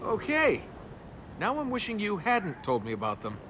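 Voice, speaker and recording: An actor playing a cocky young adventurer in a video game, flippant and sarcastic. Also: male voice, high-pitched, huge pitch swings, normal speed. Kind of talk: video game character acting. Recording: noisy game capture with ambience.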